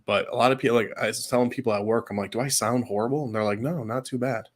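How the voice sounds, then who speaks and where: A man's voice: mid-range voice, steady tone, fast talker. A man co-hosting a casual livestream chat, easygoing and chatty with lots of fillers, sounding a little stuffed from a cold. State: fighting a cold, slightly stuffed. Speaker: co-host.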